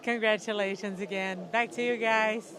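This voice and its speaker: A woman's voice: mid-range voice, steady tone, moderate pace, a crowd in the background, rough recording. A woman with a Brazilian Portuguese accent working as a field reporter, asking upbeat, encouraging questions. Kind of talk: on-site interview.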